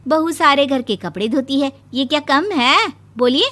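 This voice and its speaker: A woman voicing a dramatic young cartoon bride who is obsessed with washing clothes and speaks in a shrill, excitable way. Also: moderate pace. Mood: coaxing. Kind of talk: Hindi cartoon voice acting.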